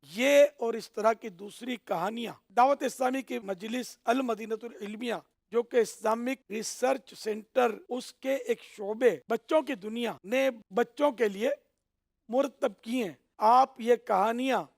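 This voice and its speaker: A man telling a children's moral story in an expressive way, addressing his young listeners directly and drawing out phrase endings for emphasis. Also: very high voice, a little slow, vocal fry.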